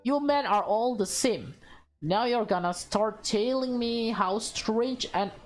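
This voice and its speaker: A woman voicing a bossy, excitable young woman in a visual novel, teasing and theatrical. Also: on the high side, slow, soft background music, voice pushed up. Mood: huffy.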